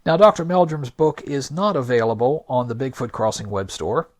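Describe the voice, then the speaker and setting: A man hosting a podcast with scripted narration, delivered in a deliberate, emphatic, argumentative manner. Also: medium pitch, noisy background. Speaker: podcast host.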